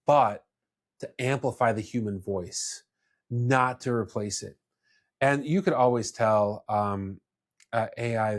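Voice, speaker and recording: A man speaking casually as a podcast host, explaining his views with restarts and asides. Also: on the low side.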